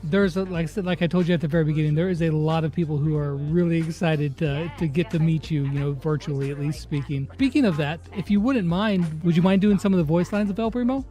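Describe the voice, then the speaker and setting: Male interview host, notably high voice, casual conversational questioning. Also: fast talker, hardly any pauses, warm tone.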